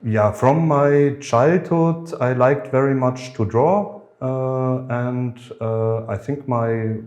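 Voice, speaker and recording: A middle-aged man, a podcast guest who talks in a halting way, full of fillers and restarts. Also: mid-range voice, darkish tone, echoey room. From Germany. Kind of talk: conversational podcast interview.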